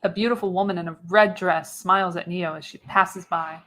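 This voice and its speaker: A woman's voice: fairly low pitch, fairly even intonation, brisk, fairly bright tone. A woman reads scene directions as narrator of a radio play, in scripted narration with an occasional stumble.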